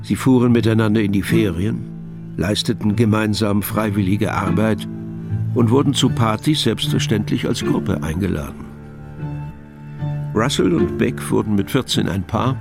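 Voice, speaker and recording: A male narrator reads a radio drama script in a measured, matter-of-fact way. His voice is on the low side, with clear music behind him.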